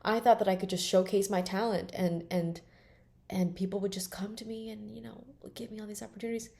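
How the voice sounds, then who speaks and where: A woman's voice: mid-range voice, fairly even intonation, a little fast, gravelly, warm tone. A woman in her late twenties talking bluntly and emphatically in a candid personal vlog, swearing freely. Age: 20s. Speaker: vlogger.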